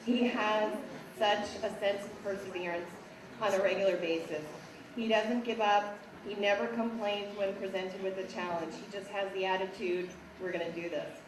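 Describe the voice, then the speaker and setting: A woman teacher with a raspy voice, reading prepared praise for students at a school assembly. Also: mid-range voice, level tone. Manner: measured, reads prepared praise. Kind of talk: award speech at a school assembly. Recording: echoey hall, distant mic, background noise.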